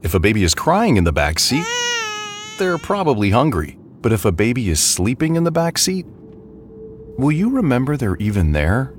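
A man narrating a public service safety ad in an expressive, conversational way. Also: mid-range voice, normal speed, noisy background. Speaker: announcer.

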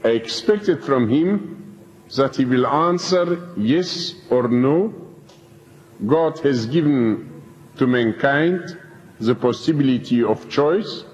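An older man, speaking slowly and emphatically as he recounts his prison experience to an audience. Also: medium pitch, a little mellow. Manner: slow, deliberate, emphatic phrasing with pauses. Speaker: preacher telling his prison story. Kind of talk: slow spoken testimony to an audience. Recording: dull lo-fi archive tape in a reverberant hall.

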